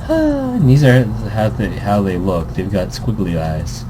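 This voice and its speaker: Casual male gaming host, relaxed low-key pace, swooping intonation, jokey and rambling. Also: measured pace, background noise. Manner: jokey, rambling, easily amused. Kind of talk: casual let's play commentary. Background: music.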